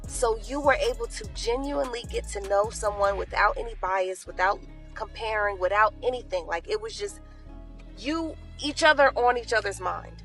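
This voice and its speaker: A woman's voice: medium pitch, moderate pace, fairly bright tone, faint music behind. A woman explaining her view in a casual, conversational monologue, checking in with the listener. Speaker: clip speaker.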